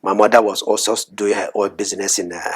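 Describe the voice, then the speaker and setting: A middle-aged man from Cameroon, a guest in an interview, talking in a measured, soft-spoken way with frequent hesitations. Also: fairly low pitch, steady tone, slightly rough. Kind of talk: interview conversation.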